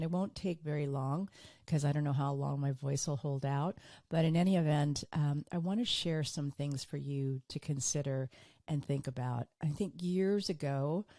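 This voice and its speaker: Woman podcaster, kinda hoarse and tired, low mellow voice, really earnest. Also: no room sound, studio quality. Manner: earnest, repeats for emphasis. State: hoarse, recovering from illness, fatigued. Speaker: podcast host. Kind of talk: solo business podcast monologue.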